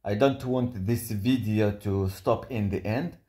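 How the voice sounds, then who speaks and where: A man from Israel with an Israeli accent, speaking English as a second language in a halting self-recorded complaint, with many fillers and restarts. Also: lowish voice, mellow, echoey room. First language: not English. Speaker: litigant making a video statement. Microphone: phone.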